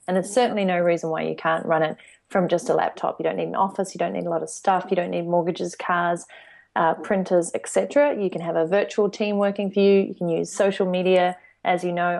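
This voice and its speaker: Upbeat New woman with gravelly low voice. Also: a little mellow. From New Zealand. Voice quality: upbeat, laughs often. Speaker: guest entrepreneur. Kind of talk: casual interview answers. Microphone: remote call from abroad.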